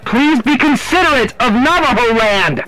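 Man acting a piercing, high megaphone official. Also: lively intonation, moderate pace, sharp tone, exaggerated voice, low-quality recording. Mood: stern, loud. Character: stern crowd-control official shouting warnings. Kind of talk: visual novel voice acting.

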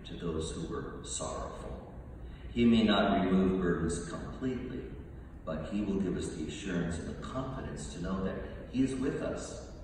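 A very echoey, distant recording carries the low voice of a man of sixty across a large church. He is a priest giving a homily, speaking in a measured way. Background noise hangs around his words. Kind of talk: homily, storytelling and preaching to a congregation. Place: large modern church, indoors. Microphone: distant camera from the seats, amplified voice at lectern.

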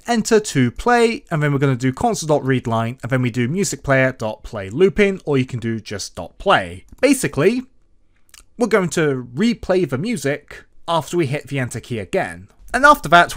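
Male tutorial host, medium pitch, very animated intonation, sing-song phrase openings, instructional screen-recording narration.

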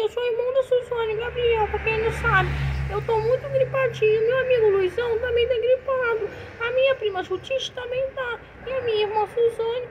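A girl voicing a chatty boy doll who has a bad cold, talking in a squeaky high voice over a noisy home recording. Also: highs cut off.

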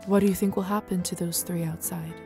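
A woman voicing an earnest, unsettled young gentlewoman in a video game, gentle, proper and questioning. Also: on the low side, fairly even intonation, brisk, gravelly. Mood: worried, curious. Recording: music behind the voice.

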